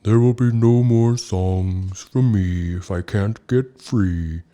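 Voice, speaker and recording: A man's voice: on the low side, warm tone. A man doing a sobbing, soulful beluga whale. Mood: mournful. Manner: sobbing, slow and pitiful. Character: sad trapped male beluga whale, soulful singer.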